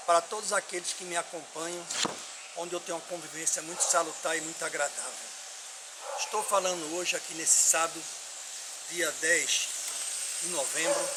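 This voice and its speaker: An older man with a Northeastern Brazilian accent from Pernambuco delivers an emphatic, oratorical opinion monologue to his followers, with rhetorical questions. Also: on the high side. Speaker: political opinion commentator. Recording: clearly noisy background.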